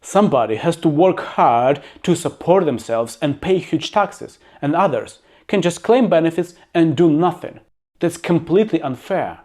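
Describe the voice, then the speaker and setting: A man's voice: on the high side, lively intonation, normal speed, darkish tone. A man who learned English as a second language reads out facts and adds his own plain-spoken opinions, as a YouTuber. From abroad, living in the UK. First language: not English. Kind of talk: reading facts with personal commentary.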